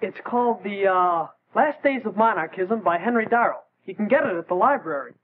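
An actor playing a polite, grateful teenage high school boy in an earnest, boyish 1940s educational film style, on a tinny, noisy soundtrack. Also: expressive, a little fast.

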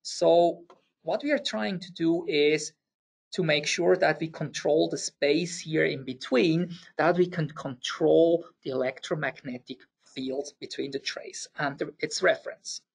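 Swiss German male presenter, gravelly husky voice, patient technical explainer. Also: fairly high pitch, steady tone. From Switzerland. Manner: explanatory, slightly halting with fillers and restarts. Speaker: webinar presenter.

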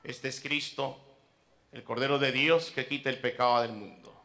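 A priest intones solemnly from an outdoor altar in a plaza. His voice is bright and of middling pitch. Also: steady tone, moderate pace. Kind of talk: liturgical proclamation.

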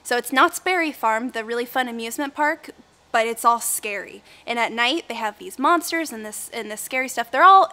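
A young woman, a kids' church teacher, telling a personal story to children in a chatty, animated way, filmed indoors on camera. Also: on the high side. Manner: chatty and animated, talks with her hands. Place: indoor room with a window, filmed set.

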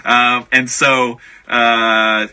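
A man speaking as an author guest in a conversational radio interview, explaining things in a measured way. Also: mid-range voice, level tone, sharp tone, clean tone.

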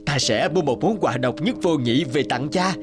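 A man acts a greedy, self-important elder brother in a cartoon fable. He blusters and mutters his schemes slyly, with clear music behind him. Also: on the high side, animated, moderate pace, in a large hall, lightly acted. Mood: smug, scheming. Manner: blustering, sly, muttering to himself. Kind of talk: animated folk tale dialogue.

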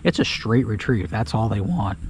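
A man casually talking through a fishing trip from his kayak on a creek, relaxed and full of filler words, recorded on an action camera outdoors. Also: lowish voice, normal speed. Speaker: fishing guide. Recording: outdoor camera mic, audible background noise.

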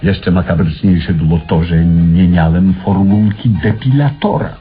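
A man acting in a vintage radio play as an eccentric, excitable chemist who rambles with melancholy, halting and then exclaiming theatrically. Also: low voice. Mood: shaken, dramatic. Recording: muffled old radio recording with music.

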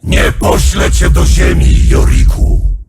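An actor voicing a bloodthirsty ancient male demon warrior, shouting taunts at his foes in a video game. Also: slow, over music, lightly acted. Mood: menacing. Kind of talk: video game character voice lines.

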